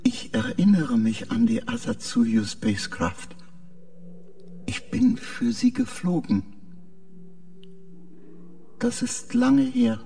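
High-pitched, halting male machine mind, acted by a man. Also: big pitch swings, gravelly, warm tone, heavily acted. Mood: confused, subdued. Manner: halting, short hesitant answers. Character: confused male spacecraft artificial mind, dreamy and haunted. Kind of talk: science fiction audio drama. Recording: distant-sounding, with music behind.

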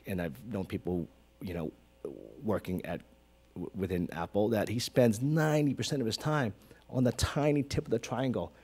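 A man in his forties from Hong Kong speaks on a small auditorium stage, his voice sitting a little low. He talks animatedly, full of fillers and restarts, into a close stand mic. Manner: animated, gestures broadly, many fillers. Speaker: guest, creative director. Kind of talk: onstage conversation. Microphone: stand mic close to mouth.

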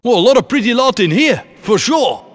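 An actor playing a cheeky, rough-talking ally of a heist crew in a video game, casual and matey. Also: male voice, extremely high pitch, big pitch swings, brisk, full character voice. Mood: impressed. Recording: long hall reverb.

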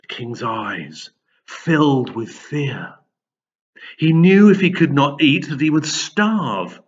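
Animated male storyteller, slightly dull recording. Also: a little high, slow pace, slightly rough. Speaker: storyteller reading a Greek myth for pupils. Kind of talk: expressive children's storytelling. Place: large indoor room with high wooden ceiling.

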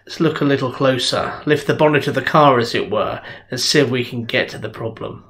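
A man's voice: mid-range voice, a little fast, fairly bright tone. A middle-aged man, a minister, giving a sermon in a conversational, earnest storytelling way from his home study into a webcam. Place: home study with bookshelves, indoors. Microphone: webcam at desk.

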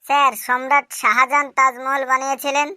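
A woman voicing a cheeky schoolchild in a comic cartoon, answering in a deadpan, literal-minded, politely impudent way. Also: high voice, fairly quick, smooth, no room sound, clean studio sound. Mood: earnest. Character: cheeky schoolchild, earnest-sounding classroom smart aleck. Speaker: cartoon voice actor.

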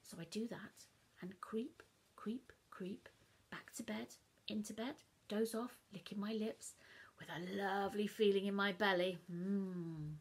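A middle-aged woman reading a story aloud to children, dramatic and expressive, dropping into hushed whispers, filmed on her sofa in a living room. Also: medium pitch, measured pace, husky.

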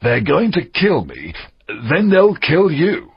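An actor playing a fierce, cunning pirate captain in an audiobook drama, gravelly and husky, with a theatrical drawl. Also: male voice, fairly high pitch, huge pitch swings, takes it slowly, muffled recording, exaggerated voice. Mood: conspiratorial. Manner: theatrical, drawling, swooping delivery.

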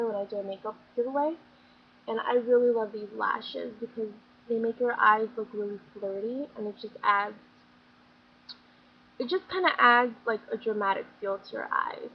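A woman's voice: on the high side, vocal fry. A young woman talks through her favorite products in a chatty, gushing way, her voice a bit scratchy as she comes down with something. She sits in a small bedroom speaking into a built-in webcam mic. The sound is dull and lo-fi, with a faint hiss of room noise behind her. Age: young adult. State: slightly scratchy, getting sick. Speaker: beauty vlogger. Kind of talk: casual product review chat.

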